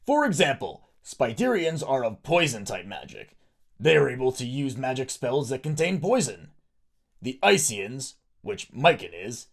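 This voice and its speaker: A man voicing a solitary male warrior mentor in an audio drama, grave and measured, with a gravelly voice. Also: medium pitch, no echo, clean studio sound. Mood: instructive.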